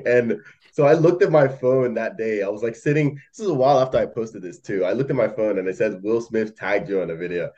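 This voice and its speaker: A man's voice: lowish voice, a little fast, a little mellow, dull audio. A young man who grew up in Malaysia, chatting casually as a podcast guest, rambling with many fillers and laughs. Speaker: podcast guest, algo trader. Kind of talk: casual chat.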